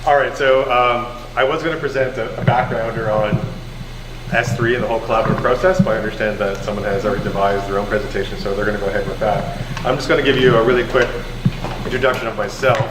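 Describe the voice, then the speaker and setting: A man presenting as a subject matter expert, speaking loudly and informally without using the microphone. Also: lowish voice, steady tone, fast. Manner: loud, informal speaker who skips the microphone. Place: community recreation hall. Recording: distant, echoey hall recording with background hum.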